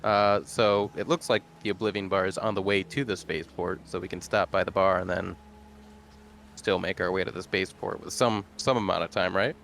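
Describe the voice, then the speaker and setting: Male tabletop player, fairly low voice, conversational and measured, faint noise in background. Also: fairly even intonation, creaky, a bit bright. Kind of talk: casual in-character roleplay chat.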